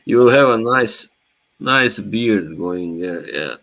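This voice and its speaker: A young man from Bosnia, whose first language is Bosnian, chats casually on a live stream in a low voice. He talks at a very slow pace and is laid-back, with frequent laughs. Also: animated, creaky, fairly bright tone. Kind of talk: casual chat.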